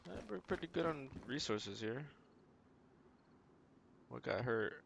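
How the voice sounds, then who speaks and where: A man giving casual, low-key video game commentary, thinking aloud as he plays. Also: animated, slow pace, gravelly, background noise. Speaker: game streamer.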